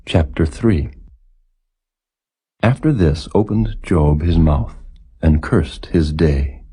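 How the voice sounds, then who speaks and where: A man narrates scripture in a deep, dark voice with a husky edge. His delivery is solemn, formal and measured, as in a read-along audiobook. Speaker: narrator, Bible reader.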